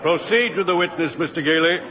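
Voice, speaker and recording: A man's voice: on the high side, a little fast, close to an everyday voice. A man playing a formal, harried courtroom judge in a 1940s radio drama, hesitant and hemming. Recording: muffled, noisy 1940s radio broadcast.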